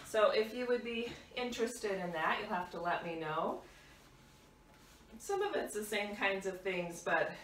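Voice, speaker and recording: A woman's voice: lowish voice, a bit bright. Middle aged lady vlogging while she tidies, unscripted chat, echoey kitchen, mic kinda far off. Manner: unscripted, talks while moving about doing chores. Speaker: home cleaning vlogger. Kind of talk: casual chat while tidying. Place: home kitchen, indoors. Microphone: camera a few meters away. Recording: roomy, distant mic, clattering dish noise. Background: dishes clattering, clicks.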